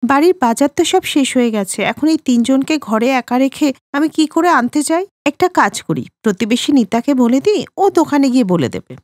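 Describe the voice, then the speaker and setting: A woman's voice: high voice, lively intonation, normal speed, hardly any pauses, darkish tone, no room sound, clean studio sound. A woman voicing a lonely, neglected young wife and mother in a cartoon story, plaintive and pointed. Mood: gentle, everyday. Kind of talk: cartoon story character acting.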